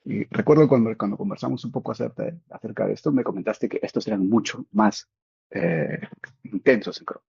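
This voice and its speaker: Brief: Peruvian host, rough breathy low voice, chatty and curious, on a muffled dry live stream. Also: male voice, fairly quick, warm tone, no room sound. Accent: Peruvian Spanish. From Peru. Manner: friendly, laughs often, asks questions. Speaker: dental technician hosting a live demo. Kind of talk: casual live conversation. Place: training academy in Spain.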